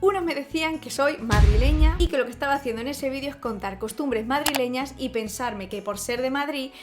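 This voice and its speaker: A woman from Spain with a Spanish accent, talking to camera in a casual vlog, expressive and ironic, laughing often. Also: sits high, fairly quick, over music. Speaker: vlogger.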